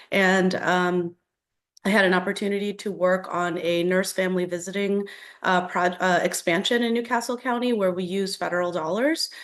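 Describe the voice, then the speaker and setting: An American woman giving opening remarks on a panel as a government official, speaking haltingly with many fillers. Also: on the low side. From United States, raised in Delaware. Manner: hesitant, halting, frequent fillers.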